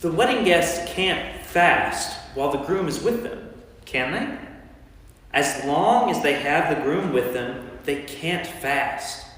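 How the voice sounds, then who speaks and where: Male actor as Jesus, authoritative and earnest, lighter-pitched, emphatic. Staged church reading, distant and very reverberant. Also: animated, slow pace, fairly bright tone. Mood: instructive. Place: large church interior. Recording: very echoey church, distant mic.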